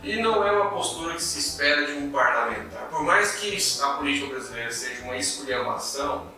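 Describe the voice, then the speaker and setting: Brief: man with a sharp, very bright tone; co-host reading news aloud; distant mic, echoey room. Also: on the high side, expressive, fast talker, faint music behind. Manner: reads news items aloud, agreeing interjections. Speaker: political commentary co-host. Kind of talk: casual political discussion.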